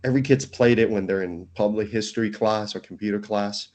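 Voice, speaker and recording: Founder, male, lowish voice, earnest, stops and restarts phrases. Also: fairly quick, a little mellow. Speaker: startup founder, guest. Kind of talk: casual interview.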